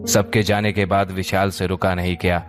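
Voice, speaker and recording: A man tells a dramatic story in a very low voice. Clear music plays behind his scripted narration. He reads as the storyteller of an audio series. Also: level tone, normal speed. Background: background music.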